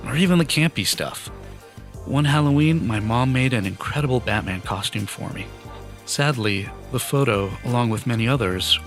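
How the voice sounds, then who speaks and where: A man in his fifties who grew up in Iran with Farsi as his first language, narrating a personal story from a script in an earnest, measured way. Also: mid-range voice, a bit bright.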